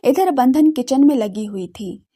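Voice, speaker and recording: A woman narrating a scripted audio drama with expressive storytelling. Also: high voice, a little slow.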